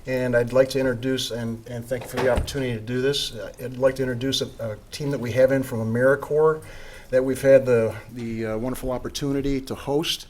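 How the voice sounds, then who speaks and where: A man giving halting public remarks at a council meeting, representing a housing nonprofit. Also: medium pitch, steady tone, darkish tone. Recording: noisy meeting-room audio.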